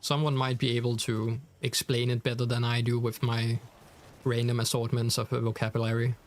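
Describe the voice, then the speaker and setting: A man's voice: mid-range voice, level tone, moderate pace. A young man with a Danish accent, casually chatting with viewers on a game stream, full of fillers and restarts. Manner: chatty, many fillers and restarts, reads chat aloud. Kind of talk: casual live stream chat.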